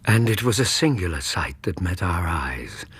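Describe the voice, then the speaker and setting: An actor playing an earnest Victorian British gentleman, a loyal companion narrating a radio drama in a measured, suspenseful, literary way with a husky voice. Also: sits low, very animated, heavily acted. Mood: grave, horrified.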